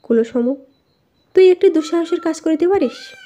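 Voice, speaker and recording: A woman's voice: very high voice, expressive, normal speed, mellow. A woman reading an imperious, secretive young harem noblewoman in an audiobook, brief and insistent. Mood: curious, secretive. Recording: dull, lo-fi voice recording.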